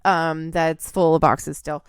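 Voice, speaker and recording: A woman co-host with a slightly low voice chats in a loose, animated way and drifts into rambling asides. Her voice is recorded close with no room sound. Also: slow, smooth, no echo. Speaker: podcast co-host. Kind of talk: casual chat.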